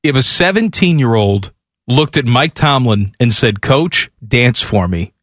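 Sports radio guy, very lively up and down delivery, casual, dry sound. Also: mid-range voice, measured pace, no echo. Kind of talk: casual sports talk and banter.

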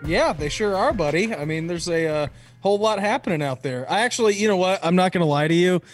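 A man co-hosting a casual sports podcast from home, laid-back and quick to laugh at himself. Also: sits high, expressive, faint music behind. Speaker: podcast co-host.

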